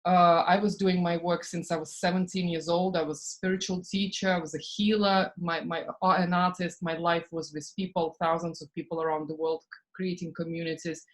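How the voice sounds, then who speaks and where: A woman from Estonia speaks, her voice sitting a little low. She talks in an animated, casual way, slipping into quoted inner-voice asides and soft laughter between thoughts. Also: fairly quick, rough recording. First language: Russian. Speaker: podcast guest, spiritual business coach. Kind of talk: casual interview talk.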